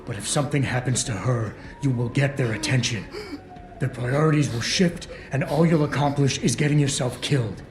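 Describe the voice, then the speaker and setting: An actor playing a stoic, unflappable security operative in a video game, with terse, deadpan delivery. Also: male voice, mid-range voice, moderate pace, lightly acted. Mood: firm, reasoning. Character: stoic, hard-boiled male security operative, dry and unflappable. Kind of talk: dramatic video game acting. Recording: roomy echo, loud music underneath.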